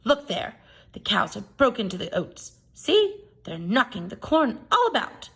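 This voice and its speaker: A woman voicing a sly, grumpy tiny old leprechaun man from a storybook, with a sharp, scolding, whining manner. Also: fairly high pitch, sing-song, unhurried, slightly rough, exaggerated voice. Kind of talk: storybook character voice.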